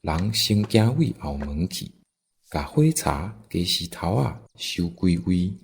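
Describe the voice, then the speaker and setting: A man's voice: low voice, expressive, takes it slowly, vocal fry, husky. A man with a Taiwanese accent narrating a scripted folk story as the storyteller.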